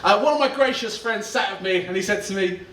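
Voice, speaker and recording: A man's voice: high-pitched, quick pace, a bit bright, reverberant, recorded from a distance. A man in his thirties, a pastor giving a chatty, self-deprecating sermon and laughing as he talks. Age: 30s. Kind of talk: conversational sermon. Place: church auditorium.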